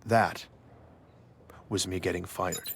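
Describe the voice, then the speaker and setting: A man's voice: deep voice, steady tone, takes it slowly, creaky, slight background noise, heavily acted. An actor playing an investigative journalist, narrating his own story in an audio drama with a dry, confiding manner. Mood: wry, weary. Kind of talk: first-person scripted narration for audio drama.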